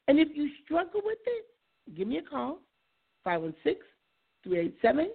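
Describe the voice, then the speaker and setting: A woman with a hoarse voice hosting a call-in radio talk show, musing aloud about patience and inviting callers to phone in. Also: high voice. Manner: reflective, conversational, invites callers. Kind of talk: solo talk radio monologue. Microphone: iPad. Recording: phone-like lo-fi line.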